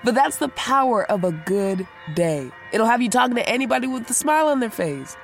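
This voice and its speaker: A woman's voice: fairly high pitch, lively intonation, brisk, faint music behind. A woman in her twenties playing a cheerful, brash, newly sober young woman in an audio drama, profane and rambling. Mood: giddy, upbeat. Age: 20s.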